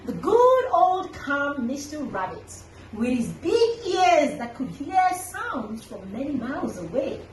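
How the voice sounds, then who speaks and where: A woman hosting a children's story time, telling an animal tale with dramatic, deliberate emphasis. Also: sits high. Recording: echoey room, distant mic, faint noise.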